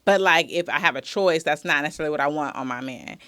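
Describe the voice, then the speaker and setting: A woman's voice: low voice, quick pace, sharp tone, dry recording. A woman in casual, playful conversation, teasing and stretching out words. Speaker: guest. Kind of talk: casual chat.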